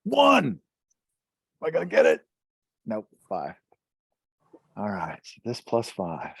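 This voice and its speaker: A man's voice: mid-range voice, huge pitch swings, slow, gravelly, breathy edge, no echo, low-quality recording. A man playing a tabletop role-playing game, chatting casually and describing what his characters do.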